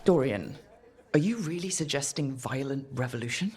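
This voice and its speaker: An actor playing an earnest adventurer hero in a video game, direct and questioning. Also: male voice, medium pitch, animated, normal speed, a little mellow, husky. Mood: challenging. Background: background music.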